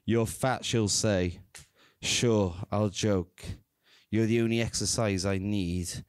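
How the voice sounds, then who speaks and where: A man in his thirties performing at an open mic, rambling and self-deprecating between slow, deliberate poem readings into a stand mic on a small indoor stage. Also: lowish voice, smooth, dry recording, clean studio sound. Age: 30s. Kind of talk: spoken word poetry reading. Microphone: stand mic, reading from phone.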